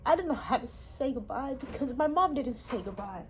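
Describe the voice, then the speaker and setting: A woman from Hawaii, telling her life story in a halting, emotional way with pauses. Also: sits high, animated, slight background noise. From Honolulu, Hawaii. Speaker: interviewee. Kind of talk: personal testimony.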